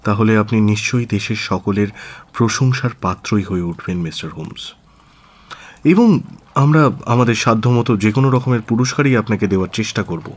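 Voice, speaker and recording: An actor playing an aristocratic government minister in a radio drama, formal, earnest and deliberate, with hesitant pauses. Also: male voice, fairly low pitch, close to an everyday voice. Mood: guarded, firm. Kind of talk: Bengali radio drama acting.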